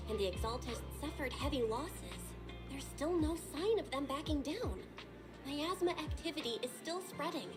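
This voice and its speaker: A woman voicing an earnest, polite and determined young female investigator in a video game. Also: high voice.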